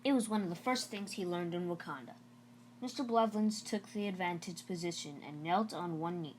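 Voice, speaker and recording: A woman's voice: on the low side, a little hiss or hum, low-quality recording. A woman narrating a children's novel aloud in a storybook read-aloud style.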